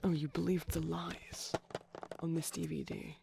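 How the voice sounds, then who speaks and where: A man playing a shy, gentle vampire boyfriend in an intimate ASMR roleplay, soft-spoken, breathy and creaky, rambling hesitantly and dipping toward whispers. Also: on the high side, flat delivery, measured pace, background noise. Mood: rambling, gently teasing.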